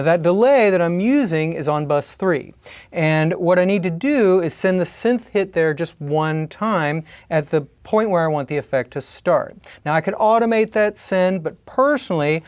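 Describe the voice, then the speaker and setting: A man's voice: on the high side, no echo. American man teaching, close dry sound. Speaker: tutorial host. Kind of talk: scripted instructional narration. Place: studio, indoors.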